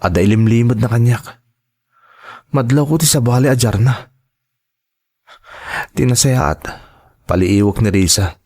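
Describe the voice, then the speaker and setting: An actor playing a troubled, suspicious husband in an Ilocano audio drama, questioning and emphatic. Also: male voice, medium pitch, unhurried, long pauses, darkish tone, close to an everyday voice. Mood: suspicious, brooding.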